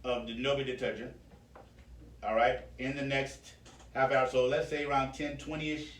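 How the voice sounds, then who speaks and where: A man's voice: mid-range voice, flat delivery, moderate pace. A man hosting a radio interview in casual, jokey, slangy conversation. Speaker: radio host. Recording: echoey room, distant mic, faint noise.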